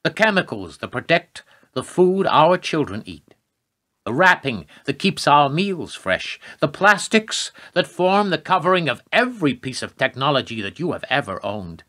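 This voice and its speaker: Radio drama: male actor as a grim, insistent government adviser, lively pitch movement, hard-edged voice, lecturing urgently. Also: normal speed, heavily acted. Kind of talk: radio play acting.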